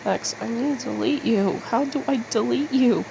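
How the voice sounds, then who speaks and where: A woman rambling casually in a halting, self-deprecating, mildly sweary way, as an artist making a video. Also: on the high side, gravelly, noisy background.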